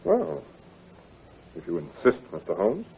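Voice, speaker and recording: An actor playing a genial, trusting gentleman heir in an old radio drama, husky and a little hesitant, on a muffled, tinny recording. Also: medium pitch, huge pitch swings, measured pace, exaggerated voice. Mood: puzzled. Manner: hesitant, stammering, hearty.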